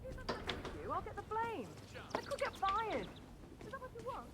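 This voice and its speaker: A bossy, irritable female game character, extremely high and swooping in pitch. She nags and snaps, and the sound is buried in loud background noise. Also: huge pitch swings, a little slow, full character voice. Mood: nagging, anxious. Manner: nagging, snappy. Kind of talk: video game dialogue. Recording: very noisy game audio, distant-sounding.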